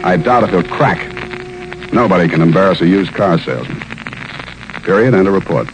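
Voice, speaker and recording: An actor playing a tough, cynical private detective with an American accent, wry and clipped in 1940s radio style. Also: male voice, low-pitched, animated, moderate pace, slight background noise, low-quality recording. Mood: wry, grim. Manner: wry, clipped, world-weary. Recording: old 1940s radio recording.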